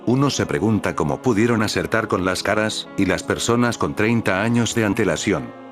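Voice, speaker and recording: Deep-voiced man narrating over faint music. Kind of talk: scripted narration.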